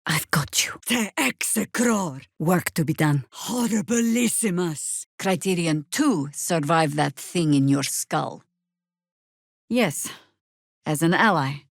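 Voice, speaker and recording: A woman playing a wry, battle-worn middle-aged druid in a video game, with sardonic, world-weary, deliberate phrasing. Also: on the low side, animated, vocal fry, no echo, studio quality, full character voice. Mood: fierce incantation. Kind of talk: video game character acting.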